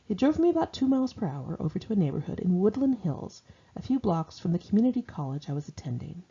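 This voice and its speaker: A woman's voice: lowish voice, big pitch swings, fast, mellow, slightly rough, dull audio. An American woman reads her own memoir in a conversational, candid, wry and slangy way. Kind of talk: conversational first-person memoir narration.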